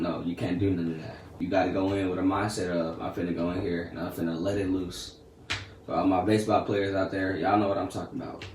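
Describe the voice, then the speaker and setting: A man's voice: low voice, echoey room, distant mic, a little hiss or hum. A teenage boy telling a story to camera in a casual, slangy, chatty way. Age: teens. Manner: laid-back, slangy and chatty. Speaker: vlogger.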